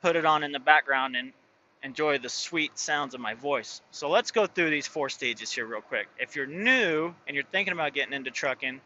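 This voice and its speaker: Rambling truck-driving man, bright voice. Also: on the high side, normal speed, dull audio, slight background noise. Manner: rambling, many fillers and restarts. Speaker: truck driver and owner operator. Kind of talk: casual vlog talk.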